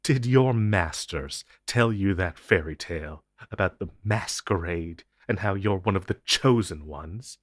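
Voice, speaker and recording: Man playing a low, menacing monster interrogator. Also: dry recording, clean studio sound. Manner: taunting, condescending, theatrical with deliberate pauses. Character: menacing male monster interrogator, inhuman, smug and possessive. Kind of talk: intense audio roleplay drama.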